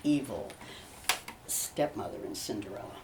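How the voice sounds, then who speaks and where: A woman's voice: very deep voice, lively intonation, a little slow, recorded from a distance, background noise. A woman playing a theatrical, indignant actress typecast as witches and stepmothers, gushing dramatically in a comedy mock interview. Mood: gushing, worked up. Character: theatrical, aggrieved actress who plays witches and evil stepmothers.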